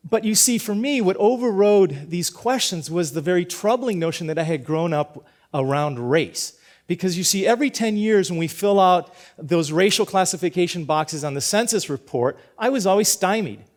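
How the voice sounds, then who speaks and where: Man, middle-aged, from Cuba, teacher, earnest storytelling talk, slightly high voice, frequent restarts. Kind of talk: prepared personal talk.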